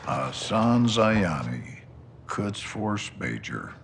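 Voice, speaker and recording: An actor playing a gruff middle-aged army general who gives blunt, profane, clipped orders. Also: male voice, lowish voice, very animated, very slow, a little hiss or hum, exaggerated voice. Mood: stern.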